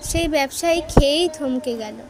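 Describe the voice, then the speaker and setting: A woman narrates a children's moral story in a high-pitched voice. She reads from a script as the storyteller. Instrumental music plays clearly behind her. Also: moderate pace.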